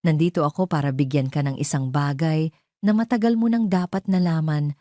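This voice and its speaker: A woman's voice: low-pitched, a little fast, warm tone, dry recording, studio quality, lightly acted. A woman voicing a cold, composed woman who speaks firmly and without emotion in an audio drama. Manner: emphatic, emotionless. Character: cold, composed woman delivering grave truth.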